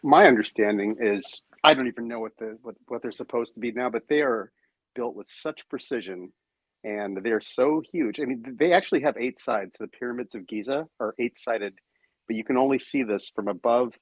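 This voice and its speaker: A man in a casual podcast discussion, explaining thoughtfully with pauses. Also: mid-range voice, dry recording, low-quality recording.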